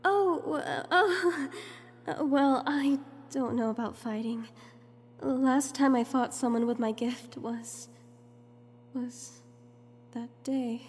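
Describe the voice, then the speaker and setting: A woman's voice: sits high, slow, a little hiss or hum. A woman playing a young gifted heroine in an audio drama, earnest and a little unsure, telling her story in the first person. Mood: hesitant, unsure. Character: hesitant young gifted woman, apologetic, narrating her own fight.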